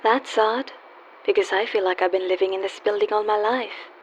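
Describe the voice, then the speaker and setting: A woman's voice: medium pitch, fairly even intonation, normal speed, lightly acted. A woman playing a wry, world-weary young call girl in a radio play, teasing yet guarded and wistful. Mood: wry, worldly. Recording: dull lo-fi audio with faint hiss.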